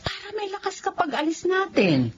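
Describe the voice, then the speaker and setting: A woman's voice: extremely high pitch, huge pitch swings, normal speed, dull audio, slight background noise, heavily acted. A Filipino actress playing a caring middle-aged mother in a radio drama, anxious, coaxing and protective of her daughter. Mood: gently worried.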